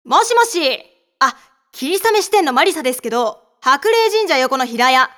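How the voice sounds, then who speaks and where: A woman playing a cheeky young witch working as a shifty estate agent in a manzai comedy drama, polite in patter and deadpan with absurd claims. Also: high-pitched, moderate pace. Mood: brisk, deadpan. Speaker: comic funny-man in a manzai skit.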